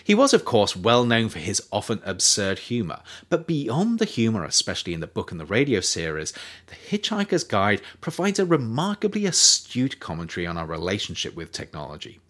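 A man reading his own non-fiction book aloud as scripted narration, with measured, emphatic phrasing and pointed pauses. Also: lowish voice, wildly swooping pitch, fairly bright tone.

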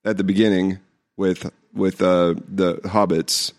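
A hoarse man co-hosting a podcast, joking wryly in casual chat with stammered restarts. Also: sits low, little pitch movement, a little slow. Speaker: podcast co-host.

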